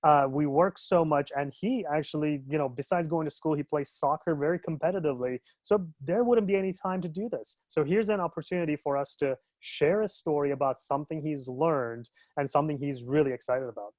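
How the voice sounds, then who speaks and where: A man's voice: a little high, brisk, hardly any pauses, darkish tone, no room sound. A man from Turkey, an educational consultant in his thirties, talking casually in a webinar discussion, with many fillers and restarts.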